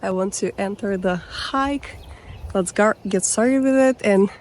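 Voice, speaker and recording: Hoarse woman vlogger talking outdoors on a trail, slow and unhurried, reflective, pitch sitting mid-range. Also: darkish tone. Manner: reflective, musing, loose with fillers. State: hoarse, recovering voice. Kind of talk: casual walk-and-talk vlog. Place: outdoors on a forest hiking trail.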